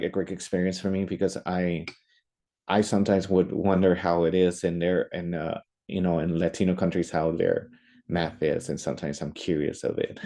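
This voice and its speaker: A young male math teacher whose first language is Spanish talks through his classroom experience in a loose, unscripted way. He pauses often and restarts his sentences. His voice sits very low, with a soft, dark tone. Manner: hesitant, many fillers and restarts. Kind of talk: casual spoken presentation.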